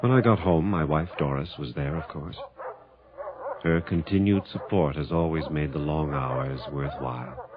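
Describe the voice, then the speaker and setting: An actor playing a scientist husband who narrates his own lab mishap in an old radio drama, with lively storytelling. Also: very deep voice, normal speed, music in the background. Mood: narrating. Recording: dull lo-fi radio drama recording with hiss.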